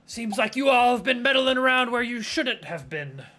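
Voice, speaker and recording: A man's voice: very high-pitched, very animated, moderate pace, pushed and bright, pitched above the performer's own voice, full character voice. A man voicing a threatening male gang leader who sneers out a warning. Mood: menacing.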